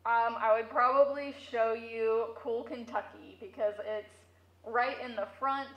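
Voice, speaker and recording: A woman's voice: fairly high pitch, steady tone, unhurried, muffled recording. A woman giving casual interview answers as a museum curator, heard through a megaphone in an echoey room.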